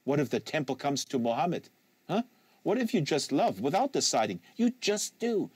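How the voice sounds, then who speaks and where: A weary American male agent, played by a man reading a novel aloud. He is sardonic and needling, with colloquial phrasing and a lively, swinging pitch in a dry studio sound. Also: normal speed, husky, dry recording. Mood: challenging, sardonic.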